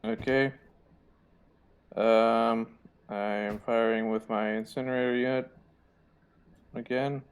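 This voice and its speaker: A man's voice: medium pitch, steady tone, slow pace, pauses often, clean tone. A man playing a tabletop role-playing game, casually stating his moves and asking about rules.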